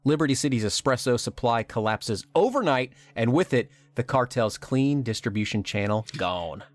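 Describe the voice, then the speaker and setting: Male podcast host, wry scripted recap with dramatic pauses, mid-pitched, dry close sound. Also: expressive, no room sound. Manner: wry, dramatic pauses, laughs at own lines. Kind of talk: scripted narration of a game plot.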